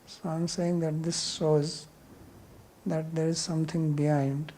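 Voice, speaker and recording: A man's voice: fairly high pitch, little pitch movement, measured pace, mellow, slight background noise. A man with an Indian accent, a spiritual teacher answering questions in a scripture class in a blunt, explanatory way.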